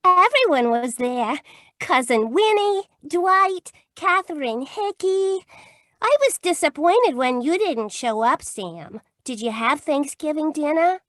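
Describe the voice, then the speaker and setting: A woman's voice: high-pitched, expressive, slow pace, fairly bright tone, dry recording, studio quality, heavily acted. A woman playing a devoted, naive young detective's secretary who gushes and frets, in old-time radio comedy style. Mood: dreamy, chatty. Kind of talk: 1940s-50s radio comedy-mystery acting.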